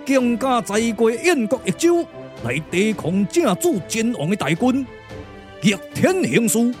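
Casting: proud old immortal sage, imperious, sweeping pitch, wrathful declamation for puppet radio drama, music behind. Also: male voice, sing-song, measured pace, heavily acted. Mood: wrathful, commanding. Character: haughty, wrathful ancient male immortal sage.

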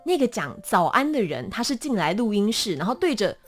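A young woman with a Taiwanese Mandarin accent explaining her work as an interview guest in an animated, self-deprecating, casual way. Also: medium pitch, a little fast, soft background music. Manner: animated, self-deprecating, acts out small examples.

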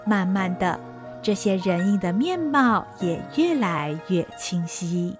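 A woman narrating a historical audio drama with a gentle, expressive, melodic delivery, then addressing listeners as host. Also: medium pitch, slow, faint music behind. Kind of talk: scripted storytelling narration.

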